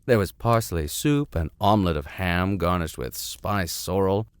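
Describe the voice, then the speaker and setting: A man's voice: low voice, a little slow, smooth, no room sound, clean studio sound. A man reading a classic story aloud as host, theatrical in delivery, with wry asides. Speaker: reader, host.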